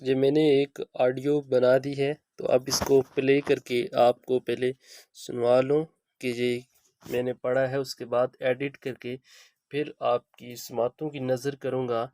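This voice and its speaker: A man's voice: medium pitch, little pitch movement, a little slow, dark tone, dry recording. A man hosting a how-to tutorial, speaking instructionally and repeating each step as he goes. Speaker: video host giving an app tutorial.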